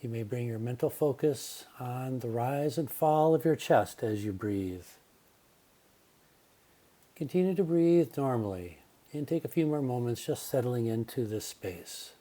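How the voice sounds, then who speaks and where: Very mellow, soft-edged male meditation guide. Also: mid-range voice. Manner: calm, gentle, unhurried instruction with pauses. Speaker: yoga nidra teacher.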